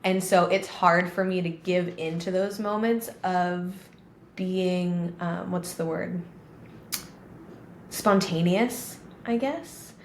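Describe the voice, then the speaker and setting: A woman in her thirties with a slightly low voice chats casually, filling her stories with "like." The room is roomy and echoey, with a faint hiss of background noise under her. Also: takes it slowly. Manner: chatty storyteller, many "like" fillers. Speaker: podcast co-host. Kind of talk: casual conversation.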